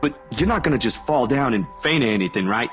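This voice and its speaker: A man's voice: mid-range voice, animated, quick pace, a bit bright, lightly acted. An actor playing a kind, slightly awkward young guy in a visual novel, informal and slangy. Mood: concerned. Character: Zachary, awkward, kind, laid-back casual young guy. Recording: music playing underneath. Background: game music.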